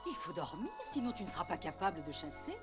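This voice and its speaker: A woman voicing a gentle mother wolf in a French cartoon dub, patient and encouraging. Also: fairly low pitch, sing-song, quick pace, highs cut off, over loud music. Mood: patient, coaxing. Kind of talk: French animated series dub.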